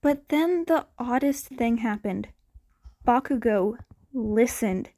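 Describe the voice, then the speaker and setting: A woman narrates a story from a script in a fairly high voice. She takes her time, reading very slowly and deliberately, and now and then trips slightly over a word. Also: lively intonation, warm tone. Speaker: podfic narrator. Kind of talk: scripted fan fiction narration, read aloud.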